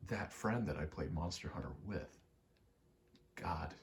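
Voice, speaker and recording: Man, very low pitch, gravelly fry, halting ASMR gaming ramble, noticeable background noise. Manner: halting, meandering, thinking aloud while playing. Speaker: gaming video creator.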